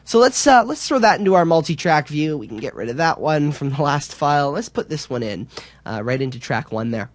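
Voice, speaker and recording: A man giving an informal, somewhat halting software tutorial as an instructor. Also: a little high, animated.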